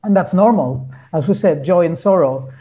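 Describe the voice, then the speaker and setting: A man talking reflectively as an interview guest, earnest and halting as he searches for his words. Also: high-pitched, mellow, dull audio. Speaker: guest musician.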